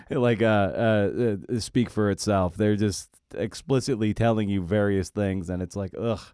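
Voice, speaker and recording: A man hosting a casual interview, speaking in a halting way and repeating words as he forms his thoughts. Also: on the low side, fairly even intonation, a little mellow, clean tone, no room sound, clean studio sound. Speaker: podcast host, interviewer.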